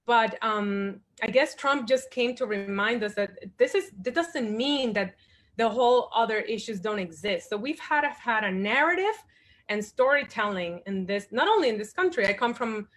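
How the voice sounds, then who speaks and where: A woman's voice: on the high side, expressive, moderate pace, a bit bright. A woman in her forties from the Dominican Republic, a guest at a panel discussion, speaking thoughtfully with many fillers and restarts.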